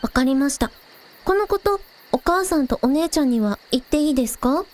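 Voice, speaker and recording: A woman acts out a young village idol in a radio drama with a high, expressive voice. She is friendly and eager, polite one moment and casually teasing the next. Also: fairly quick, a little hiss or hum. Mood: polite, grateful. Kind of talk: Japanese audio drama dialogue.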